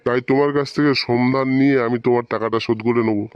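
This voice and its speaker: A man's voice: medium pitch, fairly even intonation, racing, clean tone, no echo, muffled recording, natural voice. A man voicing a shrewd, firm middle-aged fish merchant and father-in-law in a cartoon comedy, businesslike in manner. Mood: shrewd, measured.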